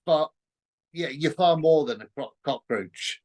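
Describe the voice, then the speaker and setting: A man hosting a casual interview, speaking in a hesitant, halting way. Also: fairly high pitch, a little mellow. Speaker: interview host.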